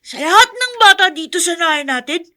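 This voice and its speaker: A little Filipino boy who loves to taunt and brag. The voice is extremely high and sharp, with a sing-song teasing delivery made for radio drama. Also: lively intonation, fairly quick, full character voice. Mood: gloating, teasing. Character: taunting, boastful little boy, a playground tease.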